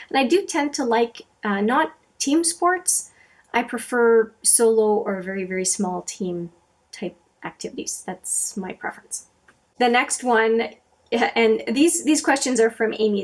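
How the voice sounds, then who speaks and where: A middle-aged woman from Canada, whose first language is French, chatting casually to camera as she answers viewer questions, with many fillers and restarts. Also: mid-range voice. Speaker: makeup channel host.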